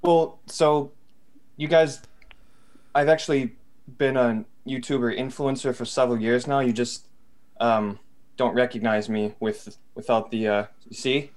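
A man with an ordinary middle pitch chats on a group call. He jokes with a straight face, playing his bits mock-seriously for his friends. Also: a little slow. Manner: jokey, deadpan mock-serious. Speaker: podcast co-host. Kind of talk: casual group chat. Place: home, far from family.

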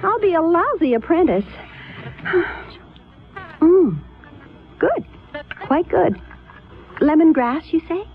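An actress playing a flippant, restless college-age niece with a breezy manner in a 1970s radio drama. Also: female voice, very high-pitched, slow, long pauses, phone-quality sound, heavily acted. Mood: exasperated. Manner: breezy, sassy, expressive. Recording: thin 1970s radio, faint music behind.

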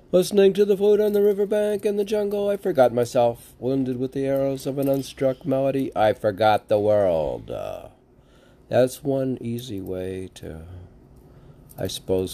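A man's voice: sits low, creaky, warm tone, a little hiss or hum. A man giving casual, rambling commentary and poetry readings in a musing way, often asking and answering his own questions.

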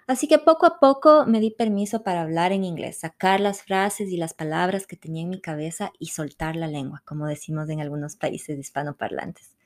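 A middle-aged woman tells her own story in a measured, unhurried way, speaking. Her voice sits a little low for a woman. She reads the script calmly as the host of her own podcast. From Ecuador. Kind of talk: scripted personal narration.

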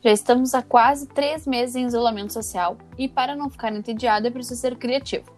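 Brisk woman presenter. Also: on the high side, darkish tone, faint music behind. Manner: brisk, read-aloud delivery. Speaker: student presenter. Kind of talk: scripted news presentation.

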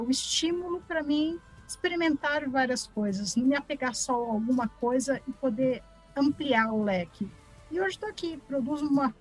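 A woman's voice: high voice, husky, poor audio. A woman with a Brazilian accent giving casual, chatty and encouraging answers as an interview guest. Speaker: interview guest, author and art teacher.

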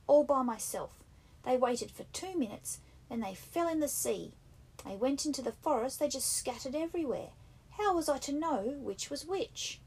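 A woman voicing an anxious, fussy schoolboy who scolds the others indignantly, in husky, rough-grammared speech. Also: high voice, expressive, moderate pace, a little mellow, voice pushed up, exaggerated voice, rough recording. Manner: indignant, breathless, nagging, with a rough country-boy grammar. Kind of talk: audiobook character reading.

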